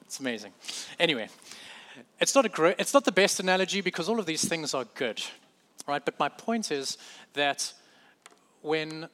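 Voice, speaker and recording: A man's voice: a little high, big pitch swings, normal speed, pauses often, a bit bright. A man with a South African accent preaching a sermon in a conversational way, correcting himself and adding light humorous asides.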